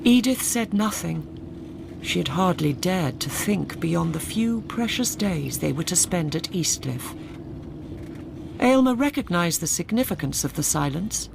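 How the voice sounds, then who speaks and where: An Englishwoman narrating a radio drama in a dry, measured, literary storytelling manner. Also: lowish voice, animated, vocal fry, noisy background.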